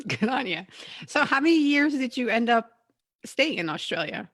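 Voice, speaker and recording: A woman's voice: on the high side, very animated, normal speed, fairly bright tone, no room sound, studio quality. A woman hosting a podcast interview in a casual, chatty style. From Canada. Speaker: podcast host.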